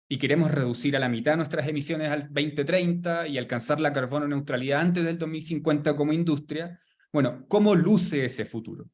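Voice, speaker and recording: A man's voice: fairly high pitch, darkish tone. A man hosting a webinar, speaking fluently and steadily, introducing a study and the next speaker. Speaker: webinar host.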